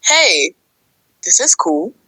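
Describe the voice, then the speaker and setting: Husky woman, slow and lively in pitch. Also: no room sound.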